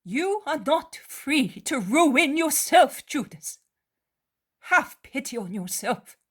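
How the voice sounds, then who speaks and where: A woman's voice: sits high, sing-song, slow, voice pushed up, exaggerated voice. A woman voicing a tender pleading mother. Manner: earnest and pleading.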